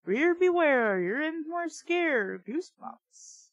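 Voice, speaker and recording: Slow-paced woman reading aloud with snark. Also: high voice, lively intonation, no echo. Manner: snarky, rambling, conversational. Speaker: host reading a children's book aloud with commentary. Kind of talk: casual read-aloud with snarky asides.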